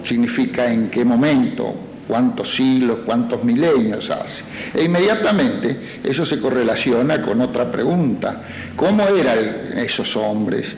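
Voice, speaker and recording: A man's voice: mid-range voice, a little mellow. Male scholar explaining in an echoey room. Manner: didactic, poses rhetorical questions. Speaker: expert interviewee. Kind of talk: explanatory academic interview talk. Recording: echoey room, faint background noise.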